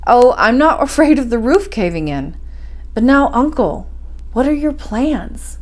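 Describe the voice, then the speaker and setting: An excitable young man on an expedition read by a woman storyteller, full of questions and wonder. His lines lift above a middle pitch in this adventure storybook. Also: unhurried. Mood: doubtful. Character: curious, amazed young nephew on an expedition.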